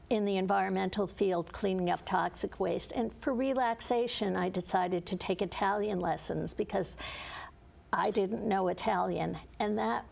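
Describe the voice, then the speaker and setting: Middle-aged woman, heavy vocal fry, earnest interview answers with lots of ums. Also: mid-range voice, darkish tone. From Connecticut, USA. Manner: earnest, with frequent fillers and restarts. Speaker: author and geologist, guest.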